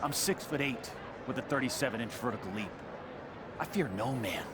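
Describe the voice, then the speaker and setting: A man's voice: medium pitch, animated, moderate pace, close to an everyday voice. A man playing a boastful, easily offended self-styled video gaming champion in a game cutscene, with bombastic, grandiose delivery. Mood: pompous boasting. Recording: loud crowd and outdoor urban noise.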